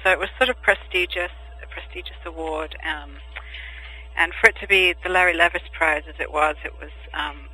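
A man who is a poet, answering podcast interview questions in a thoughtful, hesitant way with many pauses and restarts. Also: fairly high pitch, sharp tone, breathy edge, poor audio. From England and Germany.

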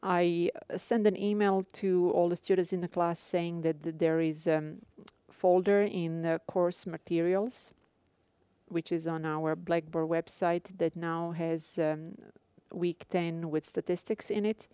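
A woman with a Croatian accent lectures her class over a live broadcast, speaking carefully and pausing often on fillers. Her voice is mellow, low and smooth. The studio sound is dry and close. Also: steady tone, no echo. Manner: careful, measured, frequent filler pauses. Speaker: instructor. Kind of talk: live radio lecture to students.

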